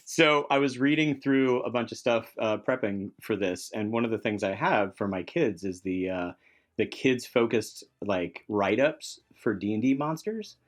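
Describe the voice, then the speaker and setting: A man chatting excitedly and casually as a podcast guest and game designer, enthusiastic and quick to chuckle. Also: fairly low pitch.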